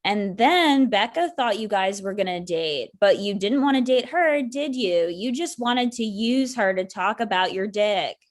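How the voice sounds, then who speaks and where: A woman acts out a sardonic ex-girlfriend in her thirties. She is wounded and pointed, with a dry delivery at medium pitch. It sounds like natural dialogue from a comedy script. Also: animated, unhurried, hardly any pauses, natural voice. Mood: accusing. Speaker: actor in a table read.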